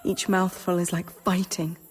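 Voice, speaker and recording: An actress in a radio drama playing a tormented, starving young novice confessing her struggle in an intense, breathy, husky monologue. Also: lowish voice, flat delivery, normal speed. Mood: anguished, revolted. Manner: intense, anguished, intimate. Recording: long reverb with music underneath.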